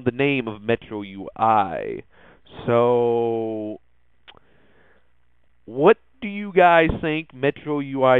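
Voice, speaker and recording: A man hosting a casual tech news podcast, talking in a halting, hesitant way. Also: mid-range voice, clean tone, dry recording. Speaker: podcast host. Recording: muffled, phone-like narrowband audio.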